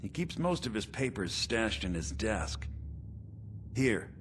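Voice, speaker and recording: Deep-voiced, sly schemer. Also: male voice, sing-song, slow pace, very noisy. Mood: sly, businesslike. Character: sly, self-satisfied male meadery conspirator and fence. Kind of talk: fantasy video game acting. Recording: clear background music.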